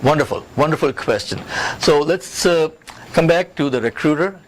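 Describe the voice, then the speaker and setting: A man's voice: fairly high pitch, moderate pace. Male career coach, emphatic, noisy recording. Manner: emphatic, instructive, repeats points and checks with "okay". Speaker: career coach and job search expert. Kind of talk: interview-style career advice talk. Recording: clearly noisy background.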